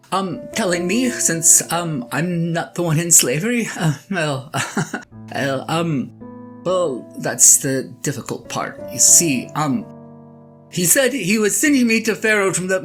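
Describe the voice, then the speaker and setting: A woman playing a sheepish, excitable eighty-year-old shepherd husband in an audio drama monologue, stammering and halting as he talks to his wife. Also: on the low side, faint music behind. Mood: excited, nervous. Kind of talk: audio drama monologue, one side of a conversation.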